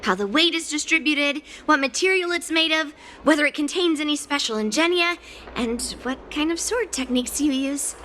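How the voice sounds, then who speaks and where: A woman's voice: high-pitched, moderate pace, sharp tone, slight background noise. A woman playing a cheerful, confident young swordswoman in video game story dialogue, speaking in a frank, friendly, breezy manner over music. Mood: friendly, curious. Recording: background music behind the voice.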